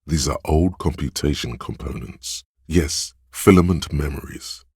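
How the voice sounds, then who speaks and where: An actor voicing a man's brooding, tactile inner voice in a game, narrating with husky gravel in a deliberate, dramatic, literary manner. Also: a bass voice, darkish tone, no echo, studio quality, exaggerated voice. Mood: grave, measured.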